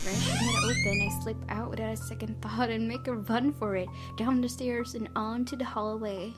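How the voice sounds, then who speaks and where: A woman voicing a terrified, devout young heroine in a horror visual novel, narrating in a tense, breathy, dramatic way. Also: a little high, huge pitch swings, moderate pace. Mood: tense, urgent. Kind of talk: dramatic first-person storytelling. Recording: loud game music behind voice.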